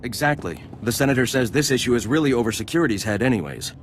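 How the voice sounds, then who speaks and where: A man's voice: mid-range voice, expressive, fast talker, slight background noise, natural voice. A man playing a fawning, eager senator's aide in a video game, speaking in a formal, gushing way. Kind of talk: video game dialogue acting.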